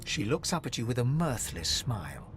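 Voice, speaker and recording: Actor as lively-pitched male fantasy narrator. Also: normal speed, over music, natural voice. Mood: observant. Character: male fantasy game narrator describing gestures. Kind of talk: scripted storybook narration.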